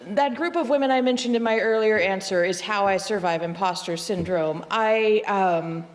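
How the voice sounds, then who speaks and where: A woman's voice: mid-range voice, moderate pace, in a large hall. A woman on a panel speaking in a chatty, self-deprecating way, slipping jokes into her answer.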